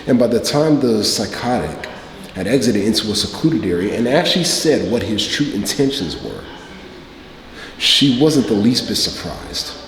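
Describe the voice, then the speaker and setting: A man in his late thirties performing a dramatic stage monologue as a cynical, self-justifying man, speaking in a deliberate, emphatic way. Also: on the low side, sing-song, a bit bright. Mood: intense storytelling. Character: defensive, cynical man confessing about a one-night pickup. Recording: echoey hall, long reverb, faint room ambience.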